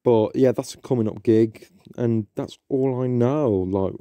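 Rambling young man, dark dry voice. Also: medium pitch, unhurried, no room sound. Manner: rambling, hesitant, many ums and restarts. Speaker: podcast host. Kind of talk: casual solo intro chat.

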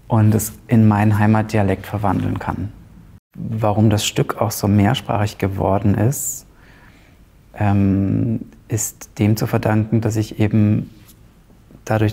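A man's voice: on the low side, gravelly, mellow. A man in his thirties from Romania, a playwright answering interview questions hesitantly with frequent fillers, in a large ornate hall on a lapel mic. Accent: Zipser German dialect. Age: 30s. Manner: hesitant, pauses often with fillers.

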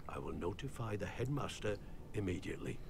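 A man's voice: on the low side, lively intonation, a little fast, gravelly, a crowd in the background. An actor playing a stern older male guardian who coldly scolds in a video game cutscene. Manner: cold, severe, reproachful.

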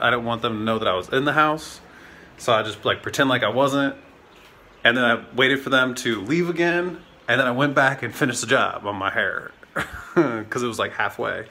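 A man's voice: medium pitch, normal speed, pushed and bright, slight background noise. A man in his thirties vlogging casually into a handheld phone, rambling with lots of fillers and swearing. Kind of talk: casual vlog chat. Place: indoors at home, then outdoors on city streets and a trail. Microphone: phone camera held close at arm's length.

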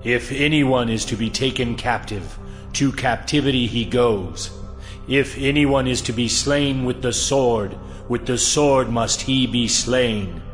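Grave prophet for scripture drama, male actor: medium pitch, slow, solemn warning over faint music. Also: lightly acted.

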